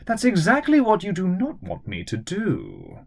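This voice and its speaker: A man's voice: sits high, wildly swooping pitch, a little slow, vocal fry, voice pushed up, heavily acted. A man plays a haughty woman villain from a children's spy story. The voice is raised and swoops widely. The manner is calculating and imperious, every phrase emphatic. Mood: scheming.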